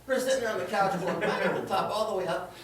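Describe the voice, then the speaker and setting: A man's voice: high voice, fast talker. A man telling a comedic tall tale live, in a theatrical, dramatic manner with exclamations and acted-out quotes. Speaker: storyteller in a tall tales contest. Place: indoor room before a live audience. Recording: echoey room, distant mic, noisy.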